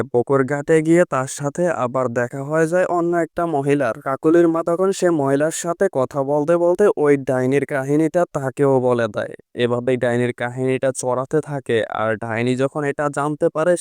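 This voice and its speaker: A man narrating a cartoon story in a steady storytelling way. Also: medium pitch, clear, resonant tone, dry recording, studio quality. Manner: steady storytelling, addresses listeners as friends.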